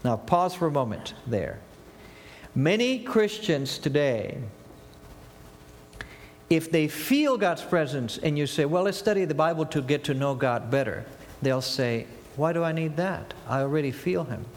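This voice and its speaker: A man's voice: a little high, lively intonation, unhurried. Argentine-accented man preaching in a reverberant hall. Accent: Argentine Spanish. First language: Spanish. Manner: earnest, asks rhetorical questions and answers them. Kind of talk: sermon.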